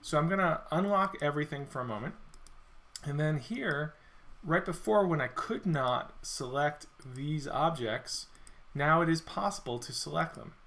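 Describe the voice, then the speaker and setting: A man walking through a software feature in a screen-recorded demo, explaining step by step, his voice a little worn as he clears his throat. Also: a little high, normal speed. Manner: relaxed explanatory walkthrough with self-corrections. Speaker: software product presenter.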